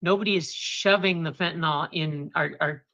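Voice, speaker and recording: A woman co-host speaking with impassioned indignation in a casual chat. Her voice sits somewhat low for a woman. The recording is a bit dull and lo-fi. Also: normal speed, bright, forceful tone.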